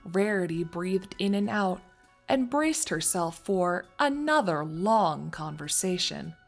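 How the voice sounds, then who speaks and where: A woman's voice: fairly low pitch, lively intonation, slow, gravelly, soft background music. A woman narrating a scripted story as its narrator, reading in prose between characters' dialogue.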